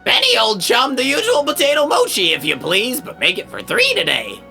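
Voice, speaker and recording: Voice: high voice, huge pitch swings, normal speed, creaky, sharp tone, heavily acted. A performer voicing a clumsy, cheerful professor in a video game, with jovial, old-fashioned genteel phrasing over music. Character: clumsy, cheerful, courteous scholar professor, adult man. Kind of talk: voiced video game dialogue.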